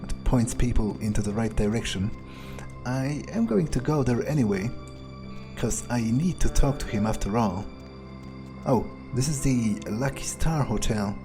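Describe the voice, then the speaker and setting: A man's voice: medium pitch, lively intonation, measured pace, music in the background. A man doing in-character roleplay commentary on a video game, musing and thinking aloud. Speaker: gameplay video host.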